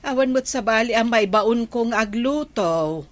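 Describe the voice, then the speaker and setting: Grumbling, higher-voiced village woman, played by an actress. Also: lively intonation, measured pace. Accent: Ilocano Filipino. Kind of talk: Ilocano radio comedy drama acting.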